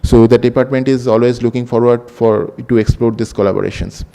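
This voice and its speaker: A man giving a formal welcome as workshop host, halting and full of fillers. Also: mid-range voice, fairly even intonation, gravelly, warm tone. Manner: halting, many fillers and restarts. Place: large lecture hall. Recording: reverberant hall sound.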